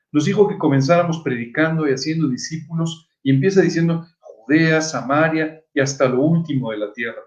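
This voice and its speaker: Male preacher, mid-pitched, earnest teaching delivery, echoey and slightly dull recording. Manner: earnest, didactic, rhetorical questions. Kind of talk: sermon. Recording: echoey room, dull muffled sound.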